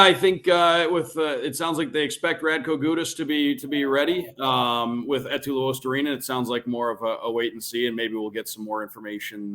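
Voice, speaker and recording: A man who calls play-by-play on radio, a guest on a sports podcast, talking in a measured, thoughtful way with some fillers over a remote call. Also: medium pitch, a bit bright. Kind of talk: casual analytical sports chat.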